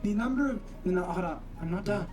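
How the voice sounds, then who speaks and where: A woman's voice: mid-range voice, animated, fairly quick, a little mellow, soft background music. A woman giving casual, opinionated commentary in a blunt, scornful, sweary manner.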